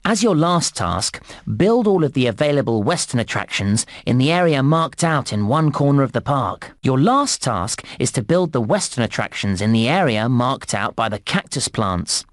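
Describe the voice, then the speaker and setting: A man playing a cheerful, encouraging theme park guide in a game, giving scripted instructions in a clear presenter's delivery. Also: medium pitch, no echo, studio quality, lightly acted. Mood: helpful, instructive. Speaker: game narrator and tutorial guide.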